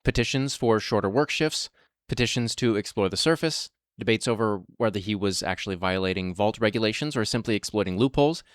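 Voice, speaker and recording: An actor playing a practical vault security officer who speaks in a calm, matter-of-fact way. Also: male voice, fairly low pitch, a little fast, no room sound, studio quality, lightly acted. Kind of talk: audio drama acting.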